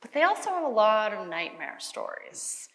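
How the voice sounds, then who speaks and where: A woman's voice: medium pitch, sing-song, normal speed, fairly bright tone. A woman introducing a panel to a live audience as its moderator. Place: indoor conference hall, on stage. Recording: reverberant conference hall.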